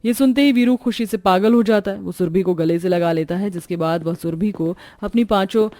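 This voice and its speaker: A woman's voice: medium pitch, brisk, dark tone, no echo. Woman, story narrator, scripted delivery, mid-range voice, dry sound.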